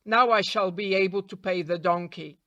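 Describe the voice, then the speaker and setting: A woman's voice: medium pitch, normal speed, sharp tone, dry recording, dull audio, close to an everyday voice. A woman voicing a shrewd male travelling peddler in a storybook, bargaining in a businesslike way.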